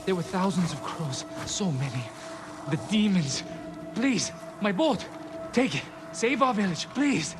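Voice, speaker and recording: A terrified, grateful villager in a video game. His voice is pitched high and swings up and down as he pleads haltingly. Music plays clearly behind him. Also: animated. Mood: desperate pleading.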